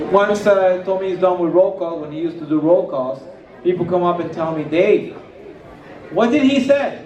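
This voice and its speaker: A man's voice: on the high side, a little mellow, dull audio. A middle-aged Puerto Rican man whose first language is Spanish, giving a rambling, anecdote-filled speech at a retirement party into a handheld mic on a stage. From Puerto Rico. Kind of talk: casual speech to a party crowd. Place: large hall with a stage, indoors. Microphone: handheld mic, filmed by a far camera. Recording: echoey hall from far off.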